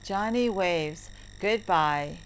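A woman narrating a story lesson for English learners, reading deliberately word by word. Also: fairly low pitch. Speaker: teacher narrator. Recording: heavy background noise, clicks.

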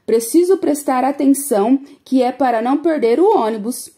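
Gentle storybook mother, calm and explaining, mellow voice, as a woman puts her on. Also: high voice, normal speed. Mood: patient.

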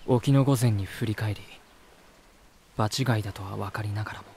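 A man's voice: fairly low pitch, moderate pace, a little mellow, a little hiss or hum, close to an everyday voice. An actor playing an earnest, peace-loving, uneasy man, polite and reflective, in a voice drama. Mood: pensive narration. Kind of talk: voice drama dialogue and inner monologue.

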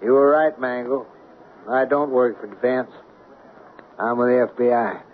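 An actor playing a cool, authoritative FBI agent in a 1970s radio drama. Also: male voice, medium pitch, unhurried, phone-quality sound, lightly acted. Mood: cool authority. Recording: thin, noisy 1970s radio broadcast.

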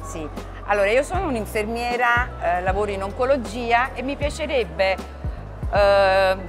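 A middle-aged woman from southern Italy talks as a studio guest, pausing often and filling gaps as she explains. Her voice sits a little high, with faint music behind. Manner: hesitant, with fillers. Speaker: guest, nurse and author. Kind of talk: casual interview. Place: studio with printed backdrop.

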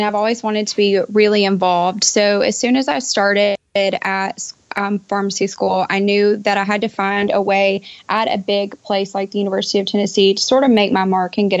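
A woman's voice: medium pitch, no echo. A woman in her thirties answering interview questions conversationally, in an orderly, explanatory way, over an internet call. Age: 30s. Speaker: podcast guest, pharmacist. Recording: slightly dull online-call audio.

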